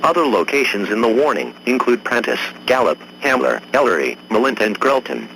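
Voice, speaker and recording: Bright, forceful male emergency alert announcer. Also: medium pitch, animated, brisk, gravelly, slight background noise, low-quality recording. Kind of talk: formal scripted warning bulletin.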